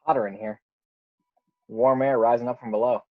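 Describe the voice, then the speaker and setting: A man's voice: mid-range voice, fairly even intonation, normal speed, natural voice. A man playing a hard-working spaceship executive officer in an amateur table read, giving short, clipped reports. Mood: strained reporting. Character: game, hard-working male executive officer descending a dark shaft. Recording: dull, roomy home microphone.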